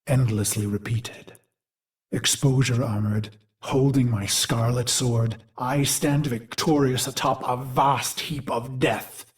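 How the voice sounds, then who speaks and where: A man playing a grim, self-loathing warrior in a video game, speaking in a solemn, brooding, deliberate monologue. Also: on the low side. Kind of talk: dramatic scripted game monologue.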